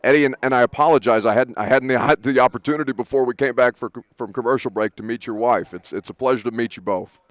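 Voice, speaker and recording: A man hosts a sports radio show, speaking at a middle pitch in eager, rambling sentences that restart often. His voice is close and dry, with no room sound around it. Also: fast talker, few pauses, no room sound. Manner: rambling, self-correcting, eager. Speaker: radio host. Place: studio booth at a sports event.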